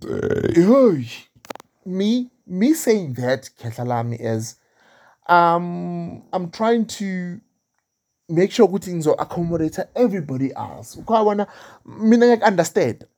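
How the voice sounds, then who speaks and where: A woman's voice: on the low side, very animated, measured pace, darkish tone. A woman hosting a casual interview, mixing Zulu and English, with frequent affirmations and fillers. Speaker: host.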